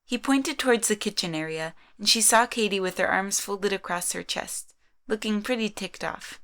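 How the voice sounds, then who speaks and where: A woman's voice: medium pitch, normal speed, a bit bright. A woman narrating a scripted story in the third person.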